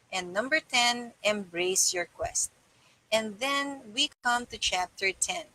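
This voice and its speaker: A middle-aged woman reading and presenting a self-help book to camera in a deliberate, measured way, recorded indoors on a device mic. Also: medium pitch, sharp tone, poor audio. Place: small plain-walled room indoors.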